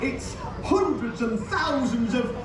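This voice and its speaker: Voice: mid-range voice, expressive, takes it slowly. A performer playing a panicky, deferential villager pleading flusteredly for help in a children's puppet show. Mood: panicked. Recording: echoey theatre from far off, crowd noise.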